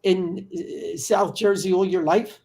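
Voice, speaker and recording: A man's voice: sits high, steady tone, a little slow, vocal fry, mellow. An older man in his seventies hosting a casual interview over a video call, often repeating things to confirm them. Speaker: podcast host. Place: home study with bookshelves.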